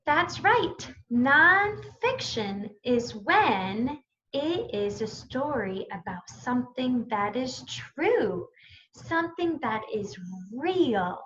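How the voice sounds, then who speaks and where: A woman with a high, very animated voice teaches young children at an unhurried story time. She uses simple phrases and prompting questions, and the sound is a little dull and lo-fi.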